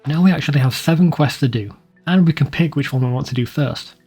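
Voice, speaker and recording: A man's voice: on the high side, fast talker, a little mellow, breathy edge, soft background music. A man reading a scripted video game walkthrough in a plain, informal, instructional way. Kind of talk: scripted guide narration.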